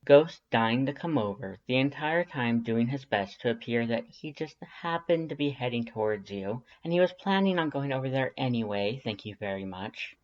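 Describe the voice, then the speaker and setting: A man's voice: medium pitch, lively intonation, normal speed, few pauses. A man reading scripted second-person fiction as an audiobook narrator. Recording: slightly dull lo-fi audio.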